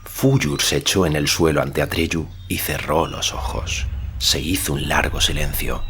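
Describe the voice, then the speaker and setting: A man narrating a literary audiobook in a measured, solemn storytelling manner. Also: very deep voice, fairly even intonation, a bit bright, a little hiss or hum. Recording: faint music behind the voice.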